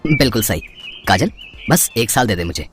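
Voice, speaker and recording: A woman's voice: very deep voice, animated, moderate pace, pitched below the performer's own voice. A woman voicing an earnest, reassuring young man in a cartoon story, with faint music behind. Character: young man, gentle college senior boyfriend.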